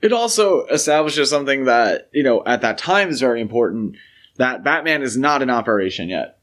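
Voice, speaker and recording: Guy co-hosting a movie podcast, normal pitch, kinda measured and explainy but chatty. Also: expressive, a bit bright. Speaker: podcast co-host. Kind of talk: conversational film discussion.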